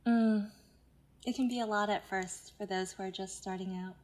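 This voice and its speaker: An actress playing a patronizing self-help mentor who presses with controlling, condescending insistence in an audio drama. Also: female voice, mid-range voice, steady tone, moderate pace, a little mellow, natural voice. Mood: probing, doubtful. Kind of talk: naturalistic audio drama acting.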